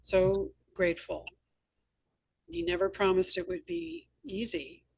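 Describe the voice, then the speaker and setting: A woman's voice: medium pitch, big pitch swings, slow, vocal fry, a bit bright, husky, low-quality recording. A woman hosting an interview, telling anecdotes in an earnest, emphatic conversational way. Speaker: host and novelist.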